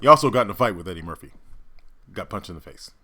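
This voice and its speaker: A man reacting to a video with chatty, animated commentary. His voice is bright and lively, and it climbs high when he gets excited. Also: fast.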